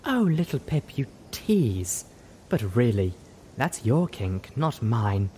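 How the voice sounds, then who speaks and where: Teasing, sultry unicorn mare for fantasy story, male reader, animated pitch, audible noise. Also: takes it slowly. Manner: cooing, teasing. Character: sultry, teasing, theatrical female unicorn patient. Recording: roomy echo, audible noise.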